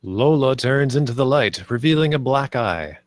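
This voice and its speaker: Guy narrating a script table read, mid pitch, dry sound, reads the stage directions. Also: expressive, normal speed, dry recording.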